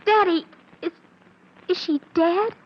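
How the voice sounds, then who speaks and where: Little girl, very high voice, meek and polite, secretly scheming, muffled old radio drama. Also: takes it slowly. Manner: meek, innocent-sounding politeness. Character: outwardly sweet young girl, secretly hateful and scheming. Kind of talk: 1940s radio acting. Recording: muffled 1940s radio recording.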